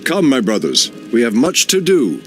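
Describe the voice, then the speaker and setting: A man's voice: medium pitch, wildly swooping pitch, normal speed, loud music behind the voice. An actor playing a stern, noble male elf druid leader who speaks in a grave, commanding way.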